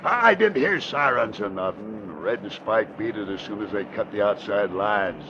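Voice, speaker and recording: A man's voice: fairly low pitch, animated, normal speed. An actor playing a nervous, deferential gangster henchman in old radio crime drama style, hesitant and slangy. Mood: impressed. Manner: hesitant, stammering slangy talk. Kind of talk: 1940s radio acting. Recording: muffled, noisy old radio recording.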